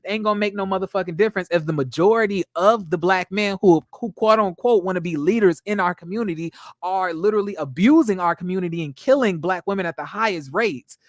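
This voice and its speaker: High-voiced young man, dry close sound. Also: quick pace, hardly any pauses, no echo. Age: 20s. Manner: hesitant, repeats words, filler-heavy. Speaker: host. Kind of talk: casual debate chat.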